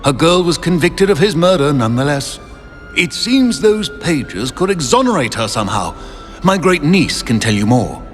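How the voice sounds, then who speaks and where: A man's voice: on the high side, big pitch swings, moderate pace. An actor playing a pompous, courtly, nostalgic old British statesman living on as a portrait in a video game. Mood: grave. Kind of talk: video game character acting. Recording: long reverberant hall, music underneath.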